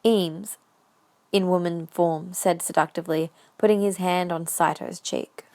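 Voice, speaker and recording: A woman's voice: fairly low pitch, steady tone, unhurried, darkish tone. A woman reading scripted narration for a fan-made audio drama.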